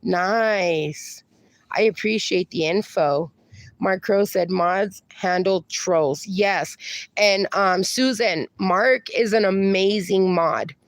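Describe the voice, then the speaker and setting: A woman's voice: medium pitch, slow pace, no echo. Chatty woman hosting a livestream. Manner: chatty, reassuring, fillers. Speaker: livestream host. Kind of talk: casual livestream chat reading comments.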